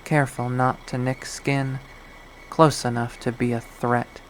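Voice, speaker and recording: A man's voice: medium pitch, level tone, measured pace, slight background noise, lightly acted, rough recording. A man voicing a jaded, unhinged young woman artist in a bitter, profane, rambling monologue from a visual novel. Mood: cold, menacing. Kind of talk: dramatic visual novel readthrough.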